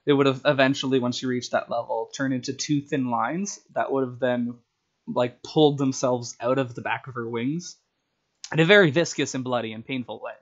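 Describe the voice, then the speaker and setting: A man with a mid-pitched voice, caught on a dull, lo-fi recording. He talks casually as a storyteller, walking through plot ideas and briefly acting out what characters would say. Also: steady tone, moderate pace. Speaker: storyteller and tabletop game player. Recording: dull, lo-fi sound.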